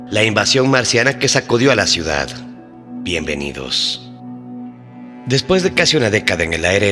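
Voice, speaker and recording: A man's voice: medium pitch, pushed and bright. Reverberant male mystery narrator. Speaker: host and narrator of a mystery channel. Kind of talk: scripted documentary storytelling. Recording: long reverb, faint music behind.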